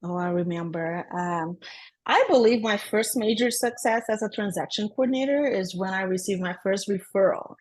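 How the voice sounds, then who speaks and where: A woman from Brazil with a Brazilian accent, a guest in a casual interview who talks upbeat and laughs often between her thoughts. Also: medium pitch. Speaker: guest, transaction coordinator.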